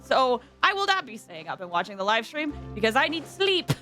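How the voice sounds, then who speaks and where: A woman's voice: a little high, very animated, fairly quick, bright, forceful tone, faint music behind. A woman hosting a game livestream, chatting casually and informally with viewers, often drifting into asides.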